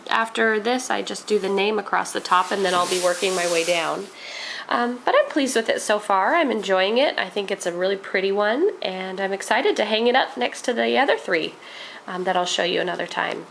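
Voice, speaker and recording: A woman's voice: mid-range voice, creaky, fairly bright tone, a little hiss or hum, low-quality recording. A young woman chatting enthusiastically about her crafts with lots of ums, a little nasal while getting over a cold. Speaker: crafting vlogger. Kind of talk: casual show-and-tell vlog.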